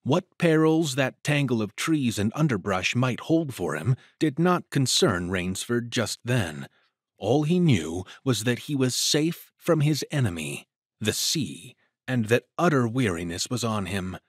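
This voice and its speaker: A man narrating a dramatic story from a script in a measured, literary and expressive manner. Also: mid-range voice, breathy edge, dry recording, clean studio sound.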